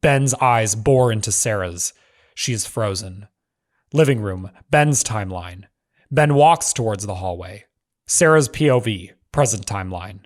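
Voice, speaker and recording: A man narrating screenplay stage directions in measured, clipped phrases. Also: fairly low pitch, expressive.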